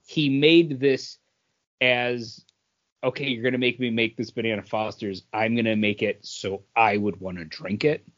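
A man with a middle-pitched voice co-hosts a podcast. He speaks in a casual, conversational way. The sound is a little dull and lo-fi. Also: steady tone, measured pace, a bit bright. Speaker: podcast co-host. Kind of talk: casual chat. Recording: dull lo-fi audio.

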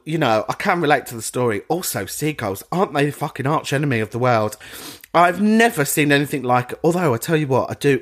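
Podcast host guy, swears loads, voice swoops all over when he's riffing. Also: fairly bright tone. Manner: swears a lot, jokey, swings pitch widely for effect. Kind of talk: casual chat reading voicemails.

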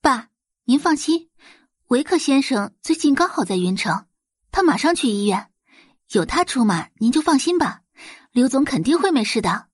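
A woman's voice: high-pitched, big pitch swings, moderate pace, slightly rough, dry recording, studio quality, voice pushed up, exaggerated voice. A woman voicing a sweet, scheming young daughter in an audio drama, solicitous and dutifully meek. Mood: reassuring.

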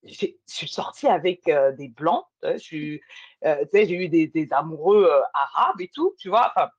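A woman's voice: low-pitched, expressive, normal speed, slightly rough. A woman from Paris chatting candidly, tossing in casual fillers as she talks. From France, born in Paris. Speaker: podcast host. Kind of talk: candid conversation. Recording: dull lo-fi sound.

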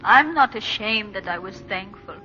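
A woman playing a grieving, stoic, impoverished wife in a 1940s radio drama, speaking in a solemn, measured, dramatic way. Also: medium pitch, lively intonation, bright, forceful tone, faint music behind. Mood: somber, anguished. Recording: dull 1940s radio recording.

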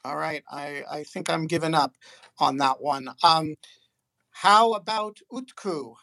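Halting man moderating an audio chat. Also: on the high side, gravelly, bright, forceful tone, dry recording. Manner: halting, many fillers. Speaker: host.

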